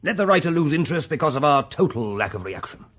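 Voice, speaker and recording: An actor playing an imperious, irascible middle-aged lord with an upper-class English accent, pompous and formal, in a period audio drama. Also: male voice, a little high, very animated, fast, fairly bright tone, breathy edge, dry recording, full character voice. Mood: exasperated.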